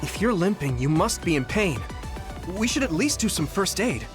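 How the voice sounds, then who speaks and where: Casting: polite, keen young soldier hero in an anime game; high male voice, lively intonation. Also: moderate pace. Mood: concerned. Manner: earnest, polite. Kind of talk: English anime game voice acting. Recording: music behind the voice.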